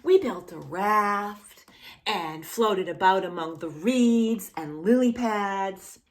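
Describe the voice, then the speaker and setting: A woman hosting a read-aloud storytime for young children, speaking in an animated way as if straight to the child listening. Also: medium pitch, slow pace. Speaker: children's storytime host reading a picture book aloud.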